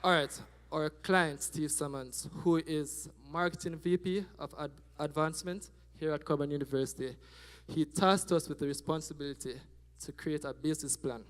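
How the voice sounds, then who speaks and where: Lively young Jamaican man on stage. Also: a little high, normal speed, few pauses, clear, resonant tone. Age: young adult. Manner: animated, joking, checks in with the audience. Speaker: student presenter. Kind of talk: class presentation on stage. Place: auditorium stage. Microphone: handheld mic. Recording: hall reverb.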